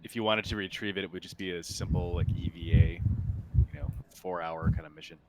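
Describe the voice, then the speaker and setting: A man narrating a tabletop game as game master, casually and haltingly, improvising as he goes. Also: deep voice, steady tone, heavy background noise.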